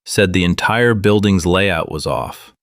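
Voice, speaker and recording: A man's voice: sits low, measured pace, no room sound, studio quality. A man playing a wry conspiracy show host in an audio drama, talking in a conversational, conspiratorial way. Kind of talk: scripted audio drama monologue as talk-show broadcast.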